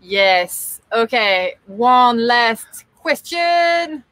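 A woman's voice: fairly high pitch, animated, extremely slow, fairly bright tone, no echo. Lady co-hosting a live stream on a boat in a marina, chatty, lots of restarts, webcam sound. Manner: chatty, hesitant, many restarts and fillers. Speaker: sailing channel co-host. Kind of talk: casual live stream chat. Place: boat cockpit in a marina, outdoors.

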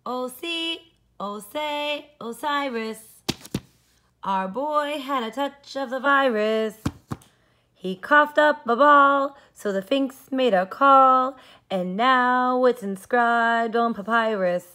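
Woman as loud, chanting little chihuahuas. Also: high voice, slow, pitched above the performer's own voice, heavily acted. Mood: rollicking. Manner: rhythmic, singsong, emphatic. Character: troupe of playful little chihuahua dogs chanting.

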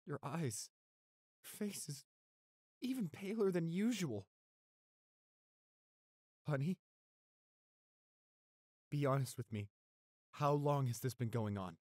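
A young man acting an anxious, devoted boyfriend in a roleplay audio, halting and stammering as he pleads. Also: on the high side, lively intonation, darkish tone, no room sound, clean studio sound. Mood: soothing, hushed.

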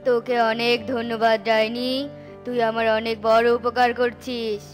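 Woman voicing a high, scheming cartoon sorcerer. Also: monotone, normal speed, faint music behind, natural voice. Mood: gloating. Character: scheming power-hungry bird sorcerer. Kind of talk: children's cartoon dubbing.

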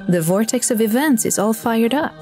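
A woman's voice: on the high side, expressive, moderate pace, darkish tone, faint music behind, natural voice. A woman playing a chatty, bureaucratic angel messenger who narrates with dry, polite condescension. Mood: storytelling. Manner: politely condescending, dryly wry. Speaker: narrator and in-story host. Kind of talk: scripted narration, addressing listeners.

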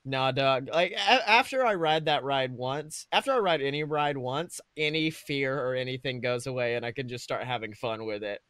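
A man co-hosting a podcast in casual comedic chat, animated and profane, often acting out imagined quotes. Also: medium pitch, normal speed, hardly any pauses, clean tone, dry recording, studio quality. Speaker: podcast co-host.

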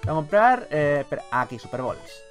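Male gamer-host, Spain Spanish accent, slightly high voice, very lively pitch, colloquial and expressive, loose with swearing. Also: normal speed, faint music behind. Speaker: gaming YouTuber, host. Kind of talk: casual let's-play commentary.

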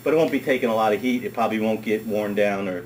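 A middle-aged man with a fairly low voice walks a small group through a hands-on demonstration. He talks in a relaxed, chatty way and often repeats words as he works. The camera mic picks up his voice in a wood-paneled workshop, with a steady hiss of noise underneath. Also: rapid-fire, poor audio. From United States. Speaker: ski school director. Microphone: camera mic on a workbench.

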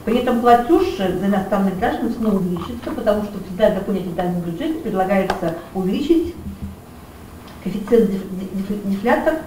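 A woman in her sixties explains an issue at a committee meeting, halting and restarting. She is picked up from a distance in a roomy meeting hall. Faint ambience is heard behind her. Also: mid-range voice, lively intonation, background noise. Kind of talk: unscripted explanation.

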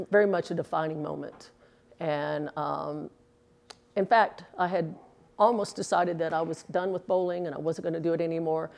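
Gravelly low-voiced woman in her sixties. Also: darkish tone. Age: 60s. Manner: chatty, earnest, rambling with fillers. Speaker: interviewee, former pro bowler. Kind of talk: casual interview answers. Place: indoors in a bowling alley. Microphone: lapel mic.

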